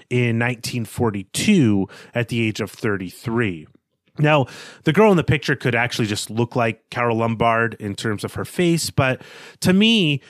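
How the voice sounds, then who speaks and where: A man co-hosting a literary podcast, talking through his analysis in a measured, conversational way. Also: medium pitch, dry recording, clean studio sound. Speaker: podcast co-host.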